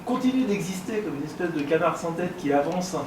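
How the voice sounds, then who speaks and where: A man making critical, analytical points in a discussion, with academic wording and halting phrasing. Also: fairly high pitch, expressive. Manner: hesitant phrasing, articulate, academic vocabulary. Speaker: discussion participant, longtime game observer. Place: convention room. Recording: echoey room, distant mic, background noise.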